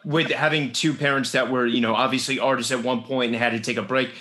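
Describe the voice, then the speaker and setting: A man's voice: medium pitch, fairly even intonation, fast, bright, forceful tone. A man hosting a casual interview, friendly and leading the guest with questions. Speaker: interviewer host.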